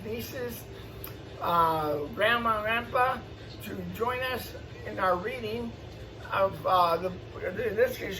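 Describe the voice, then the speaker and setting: Guy reading a kids book out loud, explains as he goes, bit far from mic, faint background noise. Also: sits high. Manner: exclamatory, explaining to young listeners. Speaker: storytime host reading to children. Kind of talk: animated picture-book read-aloud with asides.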